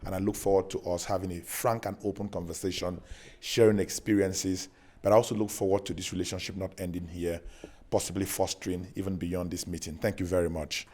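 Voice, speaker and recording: A deep-voiced man from Nigeria giving a courteous, measured self-introduction. He speaks formally to a community gathering and pauses now and then to find his words. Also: level tone, a little mellow. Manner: courteous, measured, slightly halting. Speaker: visiting speaker at a community meeting.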